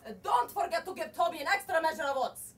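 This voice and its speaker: A woman's voice: very high-pitched, quick pace. A woman acting a proud, melodramatic, imperious widow in mourning in a theatrical stage play. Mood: haughty, firm. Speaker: student actor. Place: small theater stage, far camera. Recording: distant stage recording with noise.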